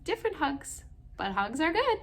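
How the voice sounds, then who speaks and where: A woman hosting a children's story time, reading aloud and explaining gently in simple words, often putting questions to her young listeners. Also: high-pitched, sing-song, moderate pace.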